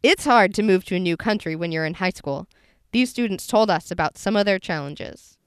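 A woman hosting a public radio feature, reading scripted narration in a measured, clear and friendly way. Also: mid-range voice, lively intonation, dry recording, clean studio sound.

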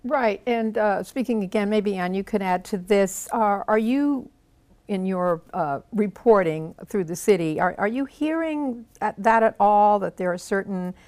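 A woman's voice: mid-range voice, measured pace, darkish tone. A woman hosting a panel discussion, recapping the news and putting questions to her guests. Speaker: host.

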